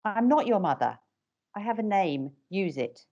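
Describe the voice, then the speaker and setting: A woman's voice: mid-range voice, very animated, normal speed, darkish tone. A middle-aged woman and parent carer speaks firmly and directly on a panel video call. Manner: firm, direct and emphatic. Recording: dull lo-fi call audio.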